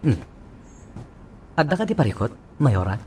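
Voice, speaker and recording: A man's voice: medium pitch, very animated, unhurried, warm tone, slight background noise. A man playing a dutiful, concerned police chief in a radio drama, with an Ilocano accent.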